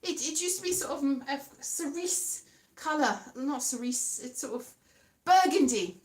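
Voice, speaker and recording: Welsh woman, high bright voice, rambly chat, echoey room w faint guitar. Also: animated, a little slow. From Wales. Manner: meandering, self-correcting, breaks into playful sing-song.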